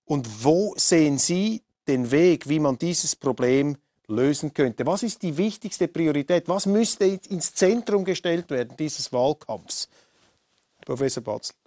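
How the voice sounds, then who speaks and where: A man's voice: on the high side, lively intonation, measured pace, warm tone, dry recording. A man hosting and moderating a political debate, asking probing, musing questions with restarts. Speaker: host and moderator.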